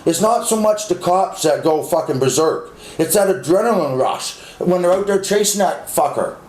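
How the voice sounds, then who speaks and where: A man's voice: high voice, expressive, moderate pace, a little mellow. A man in a casual opinionated debate, blunt and insistent, swearing and repeating himself to make his point. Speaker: interviewee. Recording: echoey, clearly noisy background.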